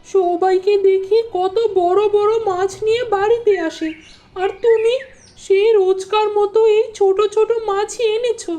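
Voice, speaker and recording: Fisherman's wife for a cartoon folk tale, actress: very high, soft-edged voice, discontented, scolding her husband. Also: fairly even intonation, measured pace. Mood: exasperated. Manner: complaining, scolding. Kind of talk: Bengali moral-tale cartoon dubbing.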